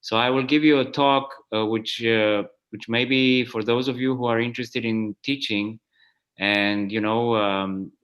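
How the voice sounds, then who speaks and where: A man's voice: medium pitch, steady tone, measured pace, fairly bright tone. A man in his forties, originally from Romania, giving a plenary math talk over a video call, hesitant with many fillers and restarts. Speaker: guest speaker, math professor. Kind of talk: online conference talk.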